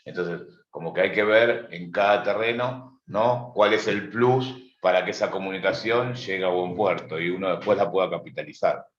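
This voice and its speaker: Argentine male businessman, deep register, hesitant informal delivery with repeated starts, recorded dull in a reverberant room. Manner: halting, searching for words, repeats openings. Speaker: business manager sharing experience. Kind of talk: informal seminar discussion.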